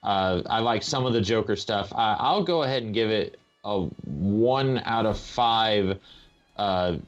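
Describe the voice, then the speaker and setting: A man chatting casually as a panelist on a comics podcast, hesitant and halting in how he talks. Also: fairly low pitch, muffled recording, soft background music. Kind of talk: casual comic book discussion.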